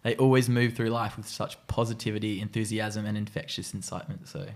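A man's voice: on the low side, little pitch movement, a little fast. A man hosting a podcast, reading an upbeat introduction and then asking guests casual questions. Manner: upbeat, reads a glowing introduction. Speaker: podcast host.

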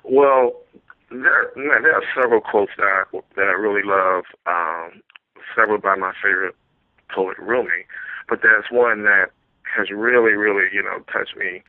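Male interview guest, Louisiana accent, lowish pitch, conversational, dry phone-quality sound. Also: fairly even intonation, moderate pace, no room sound. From Louisiana, United States. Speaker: interview guest, theater producer and playwright. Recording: thin phone-like line.

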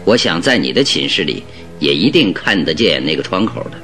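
Very deep-voiced, commanding detective. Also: male voice, very animated, fairly bright tone, faint music behind, heavily acted. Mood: firm, instructing. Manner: deliberate, instructing, emphatic. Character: shrewd, commanding male detective, calm and exacting. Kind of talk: Mandarin radio drama acting.

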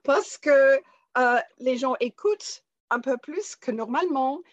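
A woman's voice: sits high, a little slow, dull audio. A woman with a foreign accent in French, explaining things conversationally as an interview guest and instructor.

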